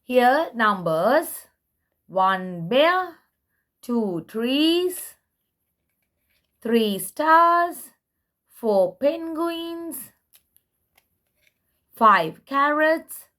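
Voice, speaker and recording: A woman presenting and reading picture books for toddlers, speaking simply and deliberately, word by word. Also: high voice, big pitch swings, a bit bright. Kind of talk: slow read-aloud for toddlers.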